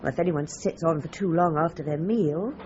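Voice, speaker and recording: A woman's voice: sits low, brisk, a little mellow, natural voice. A woman playing a helpful, talkative, worldly restaurant manageress in a radio drama. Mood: helpful, confiding. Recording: slightly dull radio drama recording.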